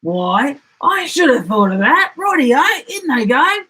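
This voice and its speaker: A woman's voice: high voice, big pitch swings, measured pace, bright, forceful tone, husky, voice pushed up, full character voice. A woman voicing a sly, boastful male dingo in a gleeful, exclamatory storybook manner. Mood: eager.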